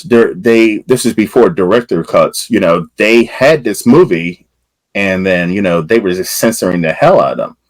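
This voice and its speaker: Low-voiced man hosting a horror podcast. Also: no echo, low-quality recording. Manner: enthusiastic, rambling questions with filler words. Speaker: podcast host. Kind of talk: casual interview questions.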